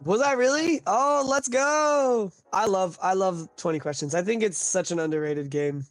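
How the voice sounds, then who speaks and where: A man's voice: high-pitched, moderate pace, dry recording, faint music behind. A man hosting a casual live-stream chat, upbeat and saying "like" a lot, just recovering from being sick. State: recovering from illness. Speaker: host.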